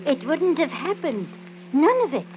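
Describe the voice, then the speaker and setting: Reproachful superstitious neighbour, high very animated voice, old radio drama. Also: female voice, measured pace. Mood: foreboding. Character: superstitious, reproachful female neighbour full of warnings. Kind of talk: old-time radio mystery acting. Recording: dull, noisy old radio recording.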